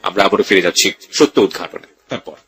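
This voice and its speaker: A man's voice: deep voice, fast, a bit bright, slightly rough. A man with a Bangladeshi accent hosting a radio interview, addressing listeners directly and mixing in English words. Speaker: radio show host.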